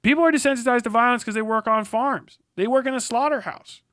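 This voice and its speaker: A man's voice: extremely high pitch, fast talker, a bit bright, no echo. An American man in a blunt, combative, profane livestream rant, speaking unscripted into a close mic. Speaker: livestream commentator.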